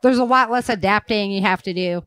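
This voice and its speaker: A woman talks in a casual, animated way, like a podcast host among friends. Her voice sits at an average pitch and is recorded dry, with little room sound. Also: fairly quick, smooth, no echo. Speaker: podcast host and fiction writer. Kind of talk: casual survivalist chat.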